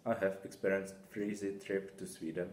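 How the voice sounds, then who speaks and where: Deep-voiced young man in echoey office. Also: little pitch movement, brisk, a little mellow. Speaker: exchange student. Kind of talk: testimonial to camera. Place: small indoor office. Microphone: camera a short way off. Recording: echoey office with faint noise.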